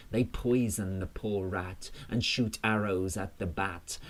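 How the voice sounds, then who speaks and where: A man reciting rhymed verse in a measured, solemn manner, as a poet reading his own work. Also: deep voice. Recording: faint ambient noise.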